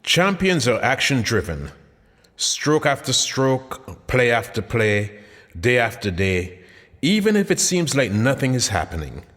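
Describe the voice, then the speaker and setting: A man reading his own book aloud in a sermon-like cadence, phrases rising then dropping low, with occasional "uh" fillers. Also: very animated, unhurried, a bit bright, in a large hall. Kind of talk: audiobook narration.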